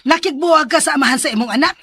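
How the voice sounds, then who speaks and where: A woman's voice: high-pitched, racing, bright, forceful tone. An actress playing a scolding, incredulous female relative in a radio drama, with a Cebuano accent. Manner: incredulous, questioning. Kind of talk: Filipino radio drama acting.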